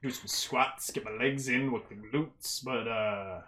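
A man acts out a towering, heavily built game character with an easy temper. He talks in a hesitant deadpan, musing his way along at a middling pitch. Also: a little slow, slight background noise. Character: very tall, big, easygoing strongman.

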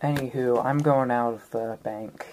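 Female game player, very deep casual voice, recorded with faint background noise. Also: fairly even intonation, normal speed, a little mellow, low-quality recording. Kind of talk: casual group chat.

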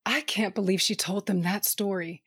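An actress voicing a playful sister who bickers and defends herself in animated banter. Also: female voice, mid-range voice, moderate pace, soft background music, close to an everyday voice. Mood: bickering.

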